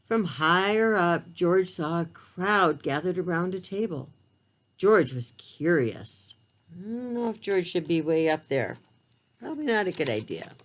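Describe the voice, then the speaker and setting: A woman with a slightly low voice reads a picture book aloud for preschoolers. Her pitch moves in lively swings while the pace stays slow. She speaks to the children in simple, direct language, as an expressive storyteller.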